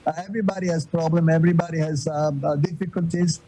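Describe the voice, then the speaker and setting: Older Italian man, husky low voice. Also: steady tone, fast, dark tone, rough recording. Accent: Florentine Italian. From Florence, Italy. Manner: hesitant, many fillers and restarts. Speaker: guest. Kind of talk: interview answers.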